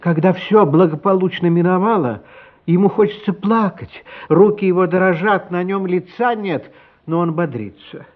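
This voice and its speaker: A man's voice: high-pitched, moderate pace, narrow, tinny audio. A man narrating a story for a radio play in a dramatic, scripted style.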